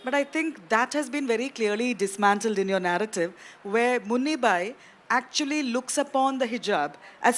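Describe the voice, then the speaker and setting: Moderator: woman, fairly high voice, measured academic phrasing for a festival panel. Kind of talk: literary panel questioning. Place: festival stage.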